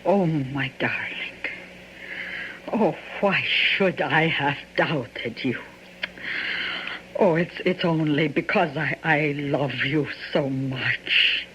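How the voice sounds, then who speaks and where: An actress playing a bitter, jealous, hard-drinking wife in a radio mystery, with a dramatic, accusing manner. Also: female voice, low voice, slow pace, fairly bright tone, slight background noise, low-quality recording. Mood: tender, relieved. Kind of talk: old-time radio mystery acting.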